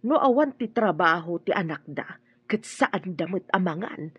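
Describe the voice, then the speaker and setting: Woman playing proud Ilocano mother, middle-aged, protective, radio drama, husky, muffled lo-fi sound. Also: fairly low pitch, animated, moderate pace, no room sound. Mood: indignant. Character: proud, protective mother sizing up her child's in-laws.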